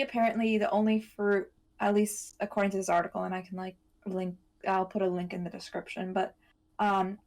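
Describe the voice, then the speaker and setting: A woman's voice: medium pitch, creaky. Matter-of-fact woman hosting casual talk. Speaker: podcast host. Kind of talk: casual informative chat.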